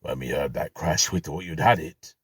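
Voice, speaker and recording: A man's voice: a bass voice, moderate pace, a bit bright, breathy edge, no room sound, studio quality, exaggerated voice. A man voicing a worried workman who calls out to a rescued friend. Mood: concerned.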